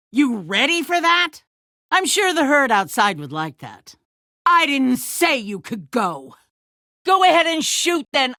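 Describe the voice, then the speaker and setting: A woman playing a calculating, ruthless leader of a survivor town in a video game, speaking in a measured, condescending way with controlled menace. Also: high voice, bright, forceful tone, no room sound, studio quality, exaggerated voice. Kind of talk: dramatic video game acting.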